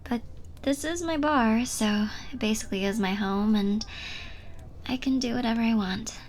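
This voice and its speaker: A woman playing a flirty, teasing bartender in a soft, breathy ASMR roleplay, speaking close to the listener and often dropping to a near-whisper. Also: on the high side, a little hiss or hum, lightly acted. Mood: relaxed, chatty. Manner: playful, giggly, unhurried flirting.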